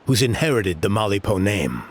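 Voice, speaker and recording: A man's voice: lowish voice, very animated, brisk, background noise. A man voicing an exasperated tribesman who complains sarcastically about others, then coaxes the listeners into helping. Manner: exasperated gossip, sarcastic mimicry.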